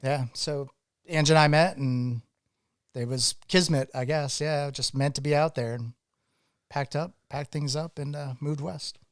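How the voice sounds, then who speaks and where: A man from Maine talks as a podcast guest, telling stories in a relaxed, thoughtful way. His voice sits a little high, and the sound is dry and close. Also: measured pace, no echo. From Maine, USA. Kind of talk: casual interview chat.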